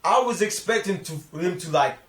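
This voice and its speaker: A man's voice: high-pitched, lively intonation, moderate pace, fairly bright tone. A man chatting casually about films, using slang and repeating short phrases. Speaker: film review show co-host. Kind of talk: casual film discussion. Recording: roomy, echoing room sound.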